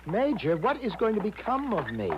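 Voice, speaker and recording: Anxious, high-voiced, stammering young man. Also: huge pitch swings, brisk. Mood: despairing. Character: naive, panicky, pleading young American man abroad. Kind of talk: 1970s radio drama acting. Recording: dull, hissy 1970s radio recording.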